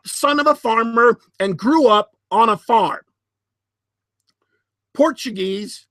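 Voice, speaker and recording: A man hosting a podcast, giving solo commentary in a deliberate, emphatic way with sardonic asides. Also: extremely high pitch, a bit bright, dry recording. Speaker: podcast host.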